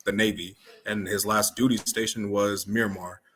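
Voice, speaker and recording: A man's voice: on the low side, level tone, normal speed, fairly bright tone. An American man chatting informally and earnestly as an interview guest. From Hawaii and San Diego, USA. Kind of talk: casual chat.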